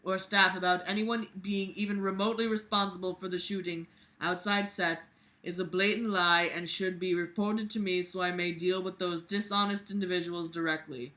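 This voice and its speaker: A woman's voice: lowish voice, steady tone, moderate pace, pushed and bright. A woman voicing a stern, authoritative male school principal from a novel, in a commanding, declamatory manner. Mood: stern, announcing.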